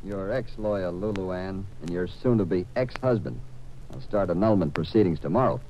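Deep-voiced urbane attorney, old radio. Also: male voice, slight background noise. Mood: cold, firm. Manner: urbane, measured. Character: wealthy, urbane, self-assured male attorney. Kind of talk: 1950s radio drama acting. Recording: dull old-time radio recording.

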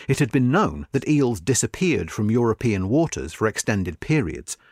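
Man reading formally, with lively intonation. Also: on the low side, no echo, clean studio sound. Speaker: narrator. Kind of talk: scripted narration of a history article.

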